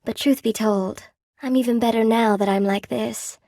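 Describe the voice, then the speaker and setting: A woman's voice: fairly high pitch, fairly even intonation, normal speed, mellow, no room sound, clean studio sound, natural voice. An actress voicing a friendly, wry spider weaver woman in a video game, chatty and coy, with a folksy, lightly theatrical delivery. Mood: wistful pride. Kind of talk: video game character dialogue. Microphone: close dry studio microphone.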